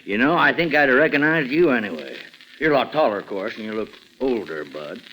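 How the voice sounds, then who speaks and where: A man's voice: mid-range voice, animated, fairly quick, sharp tone. A man with an American accent playing a folksy, persuasive former small-town police chief in a 1940s radio drama. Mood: jovial. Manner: folksy, persuasive, hesitant lead-ins. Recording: faintly noisy 1940s radio recording.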